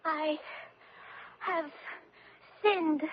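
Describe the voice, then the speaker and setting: A woman playing a fragile, devout teenage French girl prisoner in a radio drama, speaking in a halting, earnest, broken manner. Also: sits high, steady tone, background noise. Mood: anguished, dazed. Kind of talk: 1970s radio drama acting. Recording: dry, muffled old radio recording.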